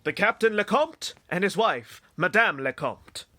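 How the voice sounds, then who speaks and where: A man plays a formal, easily affronted household servant in an audio drama. His voice is high and bright, swooping in fussy indignation as he announces and inquires. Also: lively intonation, measured pace, dry recording, full character voice. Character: proper, put-out manservant who announces guests. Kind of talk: amateur audio drama acting.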